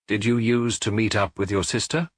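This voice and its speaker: A man voicing a calm male police inspector who asks measured, probing questions. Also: lowish voice, husky, dry recording, clean studio sound.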